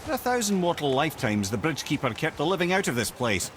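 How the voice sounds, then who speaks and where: An actor voicing a wry, worldly-wise storyteller companion in a video game, with a Scottish accent and an eloquent, sardonic manner. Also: male voice, fairly high pitch, big pitch swings, fast, noisy background. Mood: wry, grave. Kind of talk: dramatic video game acting.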